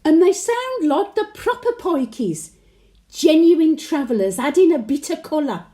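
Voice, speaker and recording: A woman's voice: sits high, dark tone. A woman playing a chatty, sentimental elderly Birmingham widow with a Brummie accent, reminiscing in a folksy, plain-speaking monologue. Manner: folksy dialect, wistful and chatty. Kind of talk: dramatic monologue, conversational reminiscence.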